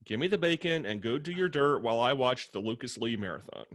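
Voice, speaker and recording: Actor as sardonic roommate, theatrically animated man. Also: medium pitch, quick pace, lightly acted. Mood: bossy. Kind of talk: table read of a comedy screenplay.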